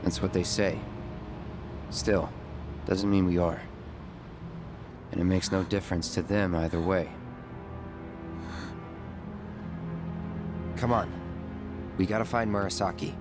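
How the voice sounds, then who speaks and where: A deep-voiced, stoic young ex-soldier, acted by a man in a video game. He is curt and deadpan, saying no more than he must, with clear music under him. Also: moderate pace, long pauses, natural voice. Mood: grim, steady. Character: terse, stoic young male ex-soldier mercenary. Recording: music behind the voice.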